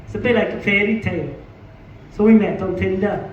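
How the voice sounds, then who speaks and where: A man's voice: high voice, fairly even intonation, brisk, a little hiss or hum. A man with a Welsh accent doing a stand-up comedy set in a pub, punchy, sweary and self-mocking. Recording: echoey pub room, distant muffled mic.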